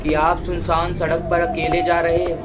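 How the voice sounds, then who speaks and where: A man narrating scripted horror stories as a voice-over in a slow, dramatic way. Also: a little high, completely flat delivery. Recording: voice-over with loud music bed.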